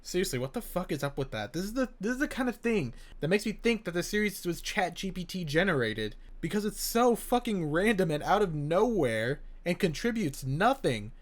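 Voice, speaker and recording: A man's voice: high-pitched, sing-song, moderate pace, few pauses. A man giving casual, sweary reaction commentary as a YouTuber, with exasperated sarcasm and a tendency to exclaim.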